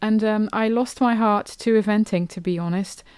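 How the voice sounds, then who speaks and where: A woman hosts a solo podcast in a loose, chatty way, dotting her talk with ums and easy restarts. Her voice is smooth and clear at a medium pitch. It is recorded close on a podcast mic with a dry, dead sound. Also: fairly even intonation, normal speed, a little mellow, no echo. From England. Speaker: podcast host. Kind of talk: casual solo podcast monologue.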